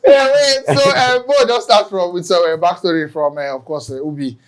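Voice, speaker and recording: A man's voice: sits high, fairly quick. A man with a Nigerian accent hosting a football fan show, opening with playful energy and slipping into pidgin. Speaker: host. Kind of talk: hyped-up show opening and interviewing.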